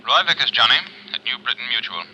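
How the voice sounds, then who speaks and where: An actor playing a blunt, businesslike official with clipped, forceful delivery in a 1950s radio drama. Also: male voice, medium pitch, lively intonation, fast, background noise, close to an everyday voice. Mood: brisk, blunt. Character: blunt, brisk businesslike man, official or executive. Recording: muffled 1950s radio recording.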